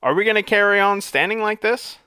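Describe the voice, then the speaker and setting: A man voicing a calm, terse male monster hunter who speaks dryly and evenly. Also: high-pitched, brisk, smooth, no room sound, pitched above the performer's own voice. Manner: calm, dry.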